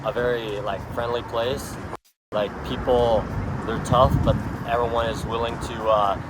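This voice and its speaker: A man's voice: mid-range voice, level tone, a little slow. A man in his twenties from Texas, chatting hesitantly in a street interview with many filler sounds. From Texas, USA. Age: 20s. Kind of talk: casual chat. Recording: loud traffic noise outdoors. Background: loud traffic noise.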